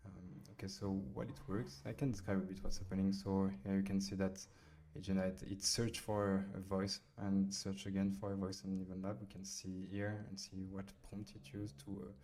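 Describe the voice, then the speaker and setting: A man with a French accent, casually talking through a software tutorial at his computer, pausing often with fillers as he types. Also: low voice, level tone. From France. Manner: hesitant, many fillers while typing. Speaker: software demo host. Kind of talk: casual screen-recorded tutorial.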